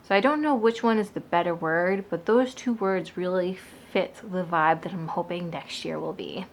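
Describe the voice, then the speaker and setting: A woman's voice: on the low side, moderate pace. A young woman from the Boston area, a book vlogger chatting casually to camera, rambling with lots of asides.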